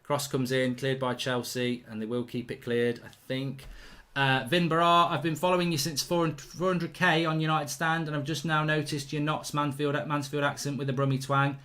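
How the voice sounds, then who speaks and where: A man's voice: a little high, flat delivery, normal speed, few pauses, sharp tone. A man hosting a live football watchalong. He reacts to the play, reads out viewer messages and talks in a blunt, chatty way with mild swearing. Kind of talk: live match watchalong commentary reading viewer chat.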